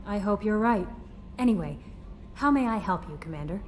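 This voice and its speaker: A woman's voice: mid-range voice, expressive, moderate pace, slight background noise, natural voice. Woman as a polite, attentive ship's crew assistant. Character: friendly, eager female ship's crew assistant. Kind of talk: video game character acting. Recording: game audio with faint ship ambience.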